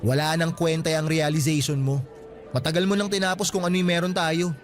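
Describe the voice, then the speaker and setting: A man's voice: a little high, flat delivery, fairly quick, faint music behind, lightly acted. A man voicing a resentful young male student in a radio drama, curt and guarded.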